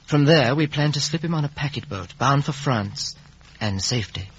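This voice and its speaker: An actor playing an earnest, lovelorn young gentleman in a 1950s radio drama. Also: medium pitch, expressive, normal speed, slightly rough. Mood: grave narration. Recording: muffled, dull vintage radio recording.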